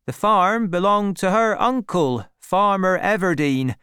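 Woman voicing a hearty, lively village farm man. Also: mid-range voice, a little slow, clear, resonant tone, no room sound, studio quality, pitched above the performer's own voice. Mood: welcoming. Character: plain-spoken, sociable village farm men in a pub.

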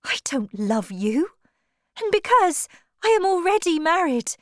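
A woman's voice: very high-pitched, very animated, measured pace, no room sound, studio quality, voice pushed up, heavily acted. A woman voicing a distressed but proud young married farm woman in an audiobook, protesting urgently. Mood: alarmed refusal.

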